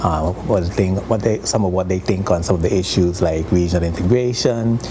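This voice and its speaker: A man hosting a TV interview show, relaxed and full of fillers. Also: deep voice, brisk. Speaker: TV show host. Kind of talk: studio interview. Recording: noisy TV recording.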